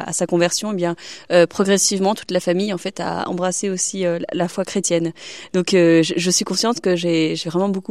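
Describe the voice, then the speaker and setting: A woman's voice: lowish voice, vocal fry, a little mellow, dry recording. A young woman from China, a religious sister, speaking hesitantly in a radio interview with many fillers and restarts.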